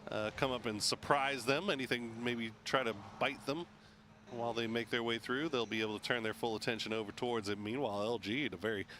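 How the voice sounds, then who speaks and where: A man commentating a competitive shooter match, jokey and animated, laughing often. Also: lowish voice, slight background noise. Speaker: esports caster. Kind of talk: play-by-play commentary.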